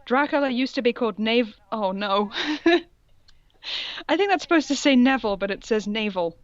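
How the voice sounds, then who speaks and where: A woman with a fairly high voice reads aloud for comedy, theatrical and sardonic, dropping in casual, sweary asides. The sound is very dry and a little muffled. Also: animated, moderate pace, dry recording. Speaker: co-host reading fan fiction aloud. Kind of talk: casual comic read-aloud with mocking asides.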